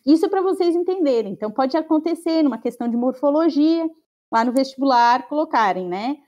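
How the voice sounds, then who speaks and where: Brazilian teacher giving an online lesson. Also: female voice, high voice, expressive, fairly quick, mellow. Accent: Brazilian Portuguese. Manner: explains patiently, checks in with students often. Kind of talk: online class lecture. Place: online class, remote.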